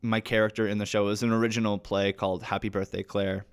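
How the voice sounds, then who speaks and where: A man's voice: lowish voice, flat delivery, quick pace, clean tone. A young Canadian man, an actor and podcast guest, chatting casually and enthusiastically as he tells stories. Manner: chatty, enthusiastic, acts out quoted dialogue. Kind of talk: casual chat, animated storytelling.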